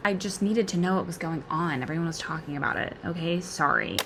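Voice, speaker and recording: A woman's voice: on the low side, fairly quick, background noise. A woman in her twenties with an American accent, chatting in a candid, rambling, self-deprecating way for a casual vlog. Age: 20s. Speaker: vlogger. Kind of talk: casual confessional vlog chat.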